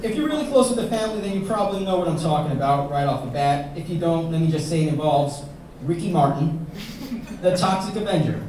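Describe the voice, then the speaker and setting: A man's voice: fairly high pitch, animated, darkish tone, slight background noise. A young man giving a best man's toast at a wedding, reading from a page into a handheld mic and joking at his own expense. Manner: joking, self-mocking, pausing for laughs. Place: large banquet hall at a wedding, indoors. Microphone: handheld mic, filmed by a far camera. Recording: echoey hall from far off, crowd laughing.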